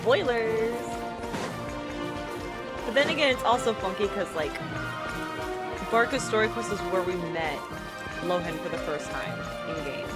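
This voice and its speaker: A woman's voice: mid-range voice, animated, unhurried, pauses often. A woman giving casual live commentary as a game streamer. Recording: loud game music behind the voice.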